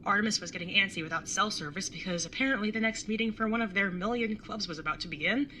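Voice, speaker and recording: A woman's voice: medium pitch, fast, pushed and bright, a little hiss or hum, lightly acted. A young woman playing a wry, exasperated older sibling who narrates a road trip in an audio drama, with a dry, sarcastic way of talking. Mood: wry narrating. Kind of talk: audio drama acting and first-person narration.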